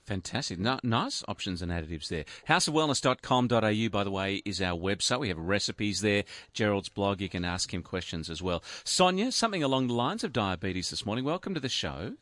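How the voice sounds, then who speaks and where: A man's voice: on the low side, animated, a little fast, few pauses, a bit bright, dry recording. A man hosting a radio health show, introducing guests and taking calls in an upbeat presenter's manner. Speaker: radio host.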